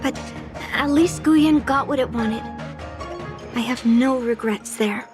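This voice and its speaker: A woman's voice: on the high side, slow, over music. An actress voicing a stubborn, determined young granddaughter and swordswoman in a video game. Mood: regretful, worried. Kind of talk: scripted game voice acting.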